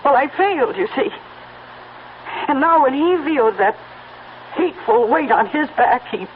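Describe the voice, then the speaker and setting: Radio drama: proud, strained young horse owner, earnest and firm, on a narrow, hissy old recording. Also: female voice, sits high, animated, a little slow. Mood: earnest, troubled. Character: grieving, stubborn young woman guarding her troubled horse. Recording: tinny band-limited old radio recording with hiss.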